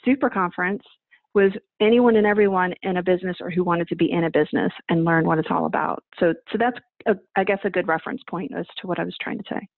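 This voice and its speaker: A woman's voice: fairly low pitch, fast, vocal fry, no room sound. A woman in her forties, a guest on a video call interview, explaining event planning with emphatic examples and rhetorical questions. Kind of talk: conversational interview answers. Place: home office, indoors. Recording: dry, tinny phone-like video call audio.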